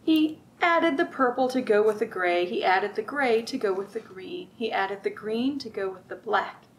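A middle-aged woman reading aloud at a children's storytime, expressive and sing-song, drawing out rhymes and putting questions to young listeners. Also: medium pitch, normal speed, a little hiss or hum, rough recording. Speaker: children's librarian, storytime host. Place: library room with bookshelves, indoors.

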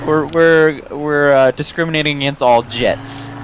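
A man's voice: fairly high pitch, a little slow, slight background noise. A man co-hosting a video game session, trading wry, crude jokes in casual banter. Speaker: gameplay co-host. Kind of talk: casual let's-play banter.

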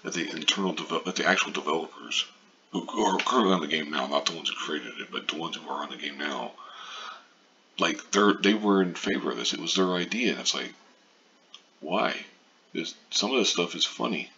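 A man's voice: rumbling low, expressive, a little fast, vocal fry, pushed and bright. A man giving a casual, profane opinion rant as a video commentator, blunt and sarcastic in how he talks. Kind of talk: casual opinion rant over a news article. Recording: muffled lo-fi mic with faint noise.

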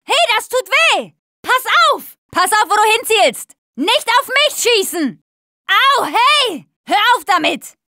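A woman's voice: extremely high pitch, sing-song, slow, bright, forceful tone, dry recording, studio quality, exaggerated voice. An actress playing a tough, sarcastic young woman surviving a zombie apocalypse in a video game, blunt and urgent in manner. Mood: annoyed. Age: young adult. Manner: blunt, foul-mouthed, urgent. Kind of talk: video game voice acting, combat barks and quips.

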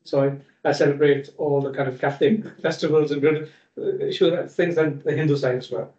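A man from India with an Indian accent, introducing himself at a round-table meeting in a measured way. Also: on the high side, fairly even intonation, mellow. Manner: measured, with frequent "uh" and "kind of". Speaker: panelist. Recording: distant, echoey, dull lo-fi room sound.

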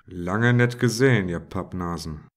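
A man playing a brash, boastful middle-aged police inspector in an audio drama parody, with gruff, slangy banter. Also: sits low, unhurried. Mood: boastful, teasing.

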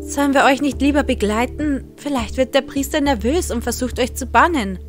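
A dutiful female ghost warrior, voiced by a woman in an audio drama. The voice is high and concerned, with music clearly behind it. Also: moderate pace, close to an everyday voice. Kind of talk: German audio drama acting.

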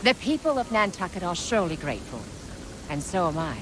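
A woman's voice: lowish voice, sing-song, brisk. An actress playing a confident, grateful woman aboard a sailing ship. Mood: assured, grateful. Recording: storm rain and noise behind.

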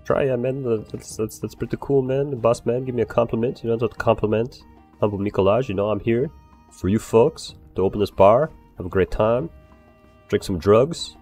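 A man's voice: mid-range voice, normal speed, darkish tone, faint music behind, natural voice. A man improvising an eager, nervously fawning barkeeper in a game role-play, rambling and swearing as he talks. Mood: eager, chatty. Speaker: role-player playing a bar owner.

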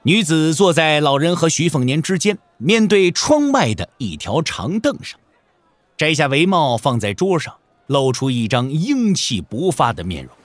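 A male narrator tells a martial-arts tale with theatrical emphasis. His voice sits slightly high and moves widely in pitch, drawing out key endings, in a dry studio sound. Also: sing-song, moderate pace, no echo. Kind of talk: dramatic scripted audiobook narration. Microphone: close studio microphone.

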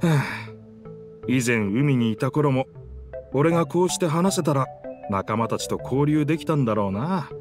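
A man voicing an easygoing talking boat in a Japanese anime game, with casual, rough masculine phrasing and a sheepish manner. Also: medium pitch, lively intonation, moderate pace, close to an everyday voice. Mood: wistful. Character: talking sentient boat, easygoing, excuse-making male. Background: game music.

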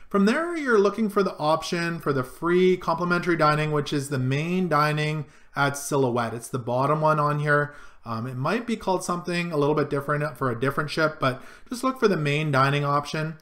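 A man in his thirties hosting a casual screen-share tutorial from a small home office, listing items in a relaxed way with frequent ums, recorded on a desk webcam. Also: fairly high pitch. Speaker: cruise vlogger.

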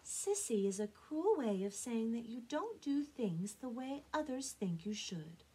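A woman doing a gentle, soothing mother duck. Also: a little high, sing-song, a little slow, slightly rough, pitched above the performer's own voice, heavily acted. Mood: tender. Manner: soft and soothing.

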